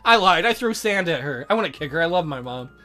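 A woman's voice: lowish voice, expressive, fast talker, a bit bright, faint music behind. A woman doing joking game commentary as a let's play host, with an irreverent, crude, teasing manner.

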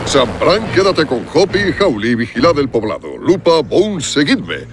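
Lively, commanding cartoon hero. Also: male voice, a little high, a little slow, slight background noise. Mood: urgent. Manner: commanding, earnest. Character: steadfast, decisive male animal hero team leader. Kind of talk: animated cartoon dubbing.

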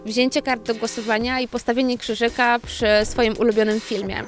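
A woman's voice: a little high, flat delivery, moderate pace, soft background music. A woman who selects a festival programme, speaking in a promotional talk.

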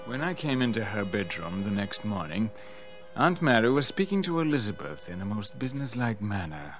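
Radio drama schemer: a smooth, falsely attentive nephew, theatrical and animated, with music underneath. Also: male voice, fairly low pitch, normal speed. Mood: sly, suspenseful. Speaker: actor and narrator in a radio drama. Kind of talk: old-time radio mystery acting.

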